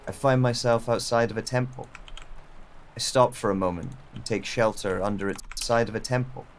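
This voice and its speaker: A man playing a brooding, reflective wanderer who narrates a solitary journey in a measured, solemn way for an audio drama. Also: mid-range voice, fairly even intonation, a little hiss or hum, lightly acted.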